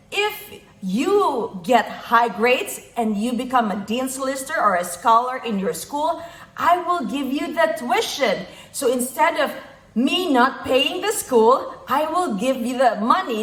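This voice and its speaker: A woman's voice: sits high, a bit bright. A middle-aged woman with a Filipino accent giving a motivational talk in numbered points, stressing and repeating her key phrases. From Philippines. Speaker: motivational speaker, physician and investor. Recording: echoey room sound.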